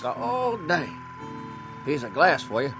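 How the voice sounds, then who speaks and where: A folksy western barkeep. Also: male voice, on the high side, sing-song, takes it slowly, creaky, over loud music, heavily acted.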